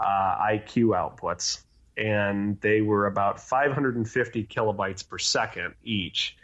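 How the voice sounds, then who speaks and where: A man being interviewed as a guest, explaining things in technical detail. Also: lowish voice. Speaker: interview guest, contest organizer. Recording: dull, lo-fi band-limited audio.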